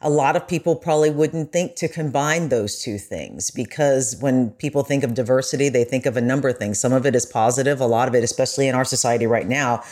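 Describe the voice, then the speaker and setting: A woman's voice: rumbling low, fast talker, few pauses, darkish tone. A woman speaking as a podcast guest, earnest and enthusiastic in a reflective discussion.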